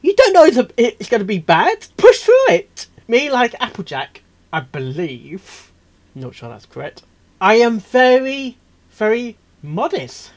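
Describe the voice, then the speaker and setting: A man hosting a reaction video, rambling casually and excitably, stammering and repeating himself as he goes. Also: very high-pitched, a little slow. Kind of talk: casual off-the-cuff reaction commentary.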